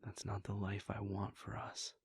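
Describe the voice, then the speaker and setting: A man voicing a weary, devoted male vampire prince in a soft, intimate whisper, speaking in slow, halting, heartfelt confessions. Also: on the low side, level tone, gravelly, breathy edge, no room sound, exaggerated voice. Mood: tender, hushed. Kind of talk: whispered audio roleplay.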